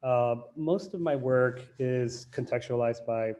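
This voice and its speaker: A man, a graphic designer, introducing himself on a video call in a measured, slightly halting way. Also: mid-range voice, darkish tone. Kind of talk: self-introduction.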